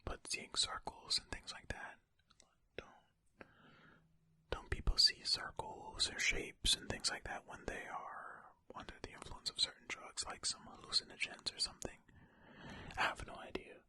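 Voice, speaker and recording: An ASMR host whispering stories and casual, musing commentary in a breathy, unvoiced voice, close to the microphone. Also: pushed and bright. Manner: unhurried, musing, informal. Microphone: close ear-to-ear ASMR microphone.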